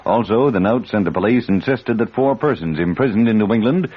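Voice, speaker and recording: A man's voice: lowish voice, animated, a little fast, breathy edge. An American man reading a scripted hourly radio news bulletin in formal, clipped announcer style. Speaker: radio newsreader. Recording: slightly dull vintage radio broadcast.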